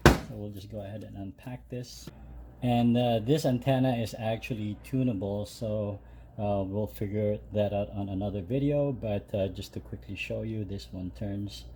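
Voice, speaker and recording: A man with a fairly low, very mellow voice walks through a hands-on job step by step. His delivery is casual and unscripted, a little hesitant, like a hobbyist showing how it is done. Some ambient noise sits behind him. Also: unhurried. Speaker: host of a DIY truck modification channel. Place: home garage.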